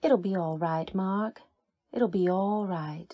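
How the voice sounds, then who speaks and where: A woman playing a soft, concerned old friend in an old-time radio drama, with a slightly muffled sound. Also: mid-range voice, takes it slowly, no echo. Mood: soothing. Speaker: supporting actress.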